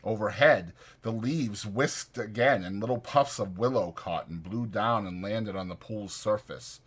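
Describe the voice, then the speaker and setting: A man's voice: fairly low pitch, measured pace, a bit bright, husky. A man reading literary fiction as a dramatized audiobook narrator.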